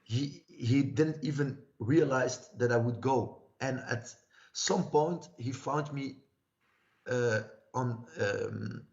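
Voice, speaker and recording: A man in his thirties from Belgium, telling his life story as a livestream guest in a halting way, searching for words. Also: mid-range voice, gravelly, a little mellow. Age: 30s. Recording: muffled lo-fi livestream audio.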